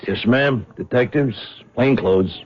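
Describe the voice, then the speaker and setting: A man's voice: medium pitch, slow pace. An actor playing a blunt male police detective in a 1970s radio drama, with a curt, businesslike manner. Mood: stern. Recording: dull old radio broadcast.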